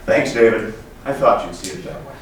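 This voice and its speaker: A man's voice: on the low side, a little fast, natural voice. A man playing a scheming corporate finance chief in a comedy skit, chummy and coy, hinting hesitantly and feigning innocence. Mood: sly. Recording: echoey room, distant mic, background noise.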